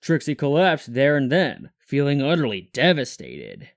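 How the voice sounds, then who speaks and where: A man's voice: mid-range voice, huge pitch swings, moderate pace. A man reading scripted story narration as the narrator.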